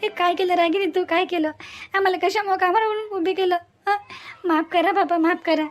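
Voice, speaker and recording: A woman's voice: extremely high pitch, fairly even intonation, moderate pace. A woman playing a runaway bride's distressed, apologetic mother in a cartoon family drama, lamenting repeatedly in a Varhadi Marathi accent. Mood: distressed, pleading. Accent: Varhadi Marathi, Vidarbha. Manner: pleading, lamenting, repetitive. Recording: faint music behind the voice. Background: faint music.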